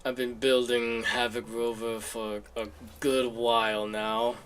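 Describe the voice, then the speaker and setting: A man streams a video game with faint music behind him. He talks casually at a slow pace, laid-back and half to himself, in a mid-range voice. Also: medium pitch, level tone, fairly bright tone. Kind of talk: casual livestream chat while playing.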